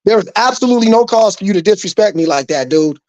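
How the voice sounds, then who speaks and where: A man's voice: sits high, lively intonation, fast, darkish tone, no room sound, highs cut off. A co-host from New Mexico arguing sports, emphatic and profane, repeating his points. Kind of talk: heated sports debate.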